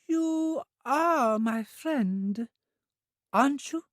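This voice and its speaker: A reader voicing a childlike, eager young woman who speaks slowly in a high, sing-song, pleading way. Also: animated, no echo, studio quality, heavily acted.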